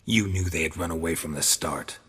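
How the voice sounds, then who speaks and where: An actor playing a stern, cynical monster hunter in a video game, with a gravelly rasp and a terse, dry, sardonic manner. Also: male voice, sits low, a little fast, a bit bright. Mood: suspicious, annoyed.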